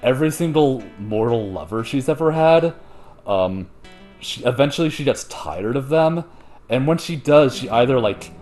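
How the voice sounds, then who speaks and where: A man's voice: mid-range voice, lively intonation, moderate pace, darkish tone, faint music behind. A man hosting a show, retelling a story in casual, slangy, profane and jokey chat. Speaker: host retelling an ancient myth.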